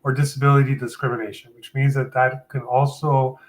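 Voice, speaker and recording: A man with a medium-pitched voice, sniffly from a seasonal cold. He hosts a live legal Q&A, talking directly to viewers. His delivery is conversational and plain-spoken as he explains things. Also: level tone, fairly quick. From Canada. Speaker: employment lawyer hosting a live Q&A.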